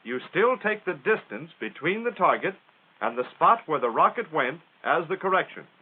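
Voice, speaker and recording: American male narrator for a 1940s military instructional film; plain-spoken and folksy, highly animated intonation, thin vintage soundtrack sound. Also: moderate pace, slightly rough. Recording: tinny, band-limited 1940s film soundtrack.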